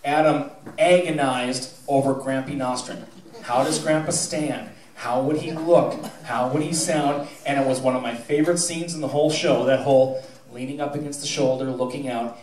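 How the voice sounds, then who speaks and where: A man's voice: mid-range voice, steady tone, moderate pace, darkish tone. A middle-aged American man giving an informal award speech to an audience, folksy and wry, in the manner of a school theatre director. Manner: folksy, wry, teasing delivery. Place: school theatre stage, indoors. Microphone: handheld mic on PA, captured by a distant camera. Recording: echoey auditorium recorded from far off, audience noise. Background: audience murmur and laughter.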